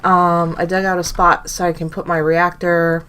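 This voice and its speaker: A young woman with a low voice talks through her game in a loose, rambling way, stopping to correct herself as she goes. Her nose is blocked from allergies, which gives every word a stuffy, nasal sound. Keyboard typing can be heard behind her. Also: flat delivery, normal speed, pushed and bright, smooth. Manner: rambling, self-correcting, thinking aloud. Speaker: gaming channel host. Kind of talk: casual gameplay commentary.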